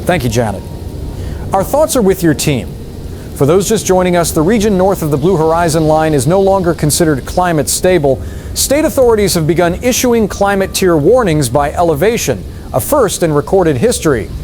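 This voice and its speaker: A man acting a grave, composed news anchor in an audio drama, measured and formal. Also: very animated, darkish tone. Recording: faint rumbling noise.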